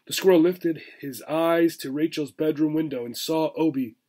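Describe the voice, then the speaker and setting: A man, reading a children's book aloud in expressive narration. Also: fairly high pitch, normal speed. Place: small furnished room indoors.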